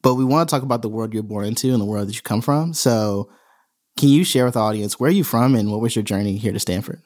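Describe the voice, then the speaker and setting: Very fast-talking podcast host. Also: medium pitch, a little mellow. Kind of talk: casual interview chat.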